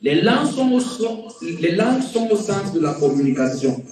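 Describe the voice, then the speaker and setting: A man preaching as a pastor, repeating key phrases for emphasis and swinging between quiet and loud delivery. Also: high-pitched, sing-song, normal speed. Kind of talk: sermon. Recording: very echoey room, distant mic.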